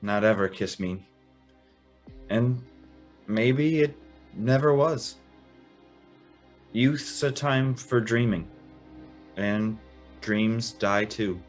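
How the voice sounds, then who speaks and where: Male actor as young man, radio drama, wistful, earnest, measured, halting, slow delivery. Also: fairly low pitch, faint music behind. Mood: wistful, resigned. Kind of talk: radio drama acting and narration.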